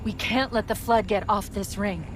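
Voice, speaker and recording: A woman playing a caring, wry female shipboard AI in a video game cutscene, with brisk, expressive military-technical delivery. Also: mid-range voice, background noise, natural voice. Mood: somber. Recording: dramatic music behind the voice.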